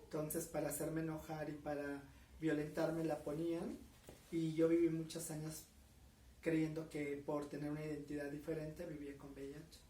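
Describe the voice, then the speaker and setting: A woman's voice: low voice, level tone, mellow, a little hiss or hum. Earnest Mexican woman in her forties. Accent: Mexican Spanish. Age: 40s. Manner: measured, earnest, with frequent fillers. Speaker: interviewee. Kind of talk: recorded interview. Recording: echoey room, mic at a distance.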